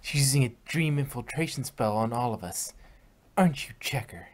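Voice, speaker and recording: A man's voice: medium pitch, normal speed, darkish tone, a little hiss or hum, lightly acted. A man reading the lines of a determined young female unicorn heroine, earnest and defiant. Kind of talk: fan reading of a story with voices.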